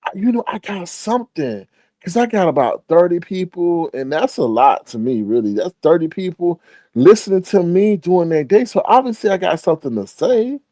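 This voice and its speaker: A man's voice: normal speed, dry recording. Stuffy-nosed male host, slangy gossip commentary, close dry mic. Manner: animated, laughing, loud pitch jumps on reactions; slangy, says "child" often. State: congested. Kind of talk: casual gossip commentary stream.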